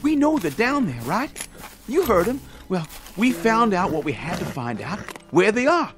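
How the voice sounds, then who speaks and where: An actor playing a frightened, bitter young Confederate private in a radio drama, whiny and pleading, with a Southern US accent. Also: male voice, sits high, very animated, moderate pace, fairly bright tone, soft background music.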